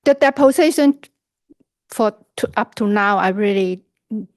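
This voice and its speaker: A woman whose first language is Cantonese, testifying as a witness over a video call, speaking haltingly and searching for words. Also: mid-range voice, dry recording. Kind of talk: court testimony.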